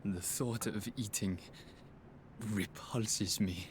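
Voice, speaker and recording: An actor playing a tormented, guilt-ridden soldier narrating his wartime diary in a video game, brooding, anguished and halting. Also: lowish voice, fairly even intonation, noisy background. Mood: guilt-ridden disgust.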